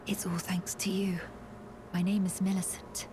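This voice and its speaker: A woman playing a gravely ill, guarded young warrior in a video game, speaking in a breathy, halting, formal way. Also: lowish voice, level tone, background noise, close to an everyday voice. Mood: tender, grateful. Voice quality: breathy, whispery. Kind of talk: video game character acting.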